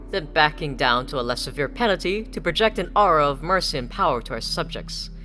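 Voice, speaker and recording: A composed young changeling prince acted by a man speaks in measured, courtly phrases. The voice is bright and crisp-edged, with music beneath it in a fantasy audio drama. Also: on the high side.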